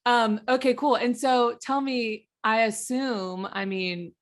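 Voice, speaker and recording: A woman's voice: on the high side, steady tone, slow. A woman, hosting a podcast in a casual, agreeable, chatty way. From southern US. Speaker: podcast host, interviewer. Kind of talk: casual chat.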